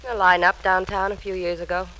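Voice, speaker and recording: A woman's voice: lowish voice, flat delivery, fast talker, sharp tone, poor audio. An actress playing a cool, streetwise woman with a shady past in 1950s radio drama. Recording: old 1950s radio recording, faint noise.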